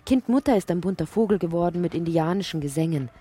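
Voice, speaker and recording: An actress playing a dreamy literary narrator in an audio drama, musing in repetitive, incantatory poetic fragments. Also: female voice, fairly low pitch, animated, quick pace, warm tone, slightly rough. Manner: incantatory, repeats phrases.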